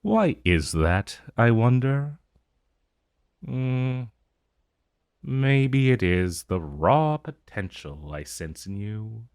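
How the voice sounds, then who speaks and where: A man's voice: medium pitch, big pitch swings, drawn-out words, darkish tone, clear, resonant tone, no room sound, studio quality, exaggerated voice. A man playing an ancient dragon in an intimate ASMR roleplay, possessive and condescending, with a smug, purring, teasing menace. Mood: musing, intrigued.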